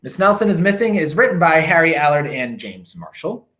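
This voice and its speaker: A man reading a storybook aloud for children, with theatrical emphasis and drawn-out words. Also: on the high side, big pitch swings, a bit bright.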